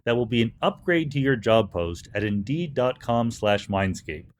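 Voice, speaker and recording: A man's voice: medium pitch, lively intonation, normal speed, slight background noise. A man hosting a podcast, speaking in an articulate, explanatory way. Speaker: podcast host. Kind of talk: podcast hosting, interview talk and ad read.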